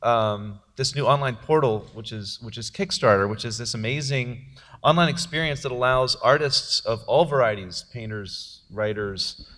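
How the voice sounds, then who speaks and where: A man's voice: medium pitch, moderate pace, a bit bright, in a large hall. A man, a filmmaker, talking at a panel after a film premiere in a jokey, rambling way with many fillers. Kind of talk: post-premiere panel talk.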